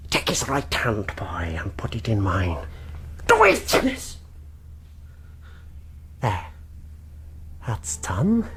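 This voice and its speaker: An actor playing a blind old sailor beggar in a radio drama, swerving from wheedling to savage command. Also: male voice, mid-range voice, heavily acted. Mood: cold, menacing.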